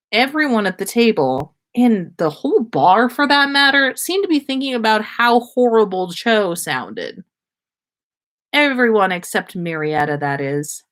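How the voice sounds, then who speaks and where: A woman with a middle-pitched voice reads a story aloud for a livestream. Her pace is unhurried, and she stumbles now and then while giving the dialogue some drama. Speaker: podcast host reading listener fan fiction.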